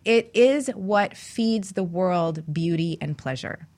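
Woman from New York City for talk radio, lowish voice, earnest and emphatic. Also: slow, darkish tone. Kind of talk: casual radio discussion.

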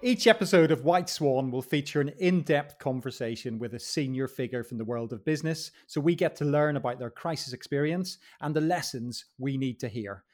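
A man's voice: medium pitch, brisk, few pauses, darkish tone. A man hosting a podcast, summing up points and welcoming his guest. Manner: summarizing, welcoming. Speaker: podcast host. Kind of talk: hosting interview.

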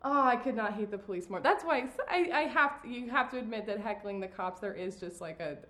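A woman hosting a podcast in casual chat, blunt and profane and quick to laugh. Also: fairly high pitch, fairly bright tone. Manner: laughs often, blunt and swearing. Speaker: podcast host. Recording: roomy echo.

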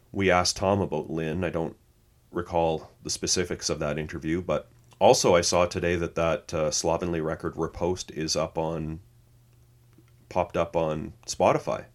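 A man co-hosting a podcast, chatting casually, reading notes aloud and laughing easily. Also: a bass voice. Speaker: podcast co-host.

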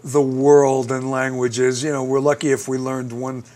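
A man's voice: medium pitch, little pitch movement, moderate pace, a little mellow. A man from the United States hosting a conversational interview, talkative and emphatic, steering with leading questions. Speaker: interview host.

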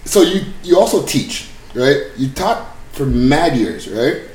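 A man's voice: on the high side, expressive, slow pace, darkish tone, echoey room, poor audio. A man hosting a hip hop radio interview, chatting casually and playfully in slangy speech. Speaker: radio show host.

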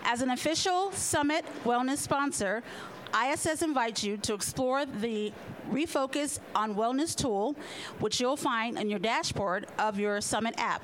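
A woman's voice: fairly high pitch, measured pace, a little hiss or hum, rough recording. A woman formally introducing a conference session and its speaker in a polished, scripted way. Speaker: sponsor representative introducing speaker.

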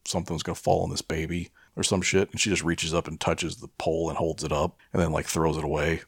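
A man co-hosting a podcast, chatting casually about films in a gravelly voice, rambling and swearing freely. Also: rumbling low, level tone, quick pace, no room sound, studio quality. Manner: profane, rambling. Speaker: podcast co-host.

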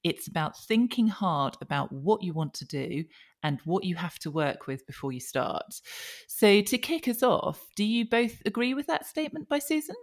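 A woman's voice: medium pitch, very animated, normal speed. A woman hosting a company podcast, welcoming guests and asking questions in an encouraging interviewer's manner. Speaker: podcast host.

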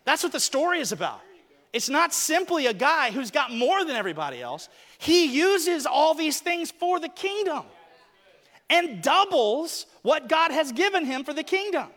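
Bright high-voiced joking preacher. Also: male voice, normal speed. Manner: joking, animated, talks to people on stage. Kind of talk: lively sermon with audience volunteers. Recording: reverberant hall sound.

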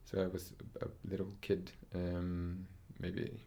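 A man's voice: sits low, monotone, slow, warm tone. A young man from Norway, a dancer, talking through his story in a casual interview, thoughtful and hesitant with many fillers and restarts.

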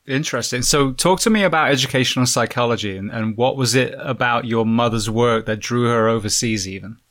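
A man from England whose accent drifts between English and American. He hosts casually, asking friendly questions at a relaxed pace. His voice sits at an ordinary middle pitch. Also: brisk. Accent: mixed English and American. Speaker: podcast host.

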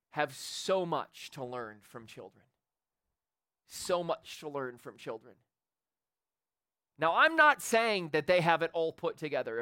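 A man in his forties preaching a sermon in a church, loud and animated, building up intensity. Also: a little high, smooth, no echo, clean studio sound. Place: church sanctuary with a congregation. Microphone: handheld or stage microphone.

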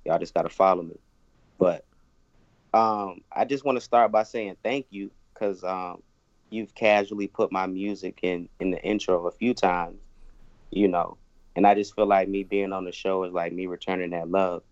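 A low-voiced man, a bit tipsy, talks loosely as a podcast guest and trips over his words. The sound is dry and dull, like a lo-fi mic close up. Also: steady tone, normal speed, no echo. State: tipsy from drinking. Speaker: guest musician. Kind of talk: casual podcast chat.

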